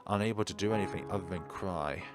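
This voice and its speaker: Man playing an anguished, low-voiced schoolboy. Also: fast, over loud music, close to an everyday voice. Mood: grieving. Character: anguished, self-blaming teenage schoolboy, pleading and insecure.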